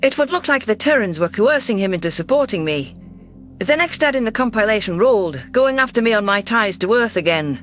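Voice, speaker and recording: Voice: medium pitch, lively intonation, brisk, a bit bright. A narrator reading a first-person science fiction story in a scripted, audiobook style.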